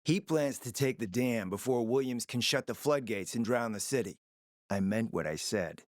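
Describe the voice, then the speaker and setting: Man acting scheming, animated post-apocalyptic schemer, dry recording. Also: mid-range voice, moderate pace, no room sound, close to an everyday voice. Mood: calculating, persuasive. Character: scheming, foul-mouthed post-apocalyptic city operator, confident and calculating. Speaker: video game voice actor. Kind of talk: dramatic video game dialogue.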